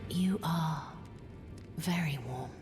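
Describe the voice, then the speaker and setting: An actress playing a gentle, sorrowful deathbed companion in a video game, in a soft, breathy near-whisper with courtly manners. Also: female voice, sits low, over music. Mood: grateful, soft. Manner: slow, hushed, pleading courtesy.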